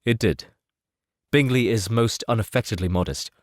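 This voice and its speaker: A man reading the part of a composed, self-assured gentleman in an audiobook, measured and formal. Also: lowish voice, dry recording, clean studio sound, lightly acted. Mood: candid, composed. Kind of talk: audiobook character dialogue.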